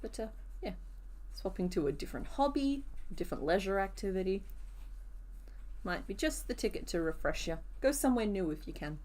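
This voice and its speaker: A woman with a rough, hoarse voice chatting casually with viewers during a live crochet stream, answering comments as she works. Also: mid-range voice, sing-song, fairly quick, a little mellow.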